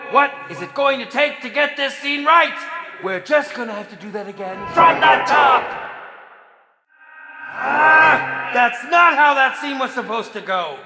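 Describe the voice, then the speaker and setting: An actor playing a theatrical, impatient and sinister film director in a video game, with a hammy, bossy, sarcastic manner. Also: male voice, very high-pitched, lively intonation, a little slow, crowd noise, heavily acted. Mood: exasperated, commanding. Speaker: game villain and announcer. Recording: echoey hall reverb with music.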